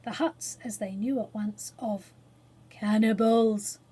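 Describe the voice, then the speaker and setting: Female narrator for children's fiction: expressive read, higher register, faintly husky. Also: takes it slowly. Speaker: storyteller.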